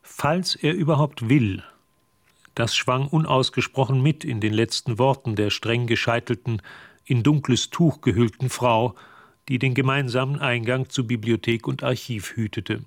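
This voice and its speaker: An actor as the composed storyteller of a radio drama, delivering measured, literary scripted narration. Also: male voice, medium pitch, no room sound, studio quality, lightly acted.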